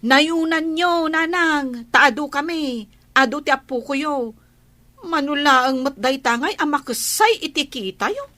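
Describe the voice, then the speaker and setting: High, bright-voiced whiny Ilocano actress as a grasping wife. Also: female voice, lively intonation, unhurried, exaggerated voice. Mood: demanding, whining. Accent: Filipino, Ilocano. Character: greedy, complaining married daughter-in-law demanding a bigger share. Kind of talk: radio drama acting.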